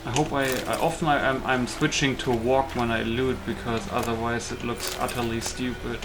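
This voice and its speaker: A man's voice: mid-range voice, level tone, moderate pace, background noise. A man giving casual let's play commentary as a gaming host, thinking aloud in a hesitant way. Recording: loud music behind the voice. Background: music.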